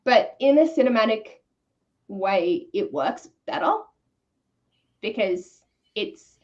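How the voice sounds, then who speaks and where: A woman's voice: mid-range voice, lively intonation, slow. Dull-sounding recording of a candid, casual woman. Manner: informal, candid, slangy. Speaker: book discussion co-host. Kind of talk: casual chat.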